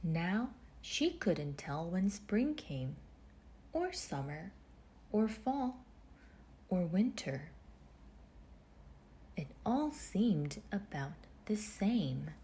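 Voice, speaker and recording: A woman reading a children's storybook aloud in measured phrases with deliberate pauses, her husky voice turning breathy as phrases end. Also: fairly low pitch, very animated, mellow. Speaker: storybook reader. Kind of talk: children's read-aloud narration.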